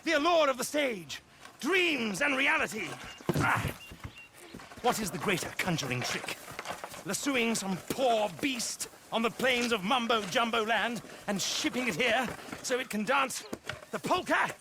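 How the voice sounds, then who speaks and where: High-pitched flamboyant British showman. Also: animated, measured pace, background noise, exaggerated voice. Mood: grand, persuasive. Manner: grandiloquent, theatrical, plummy. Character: flamboyant male circus showman calling himself Margaret, theatrical con artist. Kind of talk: video game cutscene acting.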